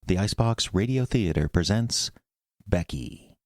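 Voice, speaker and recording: Really deep-voiced guy announcing a radio play, scripted, music underneath. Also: lively intonation, a little slow, darkish tone, no room sound, clean studio sound. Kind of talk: scripted radio drama introduction.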